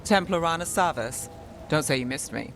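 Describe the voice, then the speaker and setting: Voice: mid-range voice, lively intonation, moderate pace, fairly bright tone, slight background noise. An actor playing a cool, wry, self-assured city detective in a fantasy video game. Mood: teasing.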